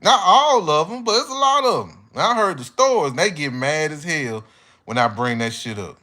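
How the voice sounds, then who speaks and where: A man's voice: a little high, animated, moderate pace, pushed and bright. An American man giving a casual, opinionated monologue as an online commentator, speaking bluntly with heavy slang and swearing. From United States.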